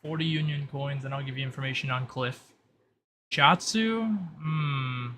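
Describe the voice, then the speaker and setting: A man's voice: medium pitch, measured pace, sharp tone, slight background noise. A man voicing a shrewd masked informant in a tabletop game, musing as he names prices for secrets. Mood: calculating, musing.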